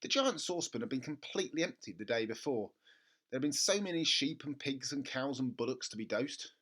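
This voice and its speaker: A man reading a children's story aloud as an expressive narrator. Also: medium pitch, a little fast. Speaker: storybook reader.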